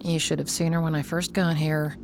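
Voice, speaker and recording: An actress playing a composed, discreet professional woman in measured, naturalistic audio drama dialogue. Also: deep voice, little pitch movement, vocal fry, slight background noise. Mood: calm, guarded.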